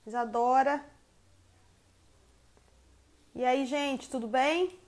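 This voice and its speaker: A woman's voice: high voice, unhurried, a little mellow. A woman from Rio de Janeiro state with a Minas Gerais accent, a professor hosting a live video-call interview, chatty and affectionate. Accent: Minas Gerais Portuguese. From Rio de Janeiro state, Brazil. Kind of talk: warm casual live-stream interview.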